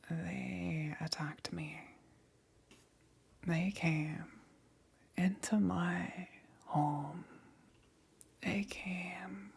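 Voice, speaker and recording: A woman playing a powerful demon queen, gentle yet calmly menacing, in a breathy whispered ASMR roleplay with long pauses. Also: fairly low pitch, full character voice. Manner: slow, soft, coaxing, with long pauses.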